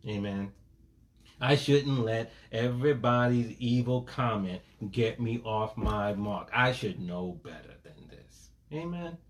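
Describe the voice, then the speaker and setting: Pastor, male, conversational sermon style with amens and homely stories; middle pitch. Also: animated, slow. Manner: preaching with call-and-response, folksy asides, repeated "amen".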